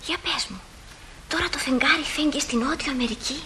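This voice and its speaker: An actress playing a curious, wide-eyed little sister in radio theatre, with a breathy, whispery voice. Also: female voice, high voice, bright, forceful tone, slight background noise. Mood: excited, confiding.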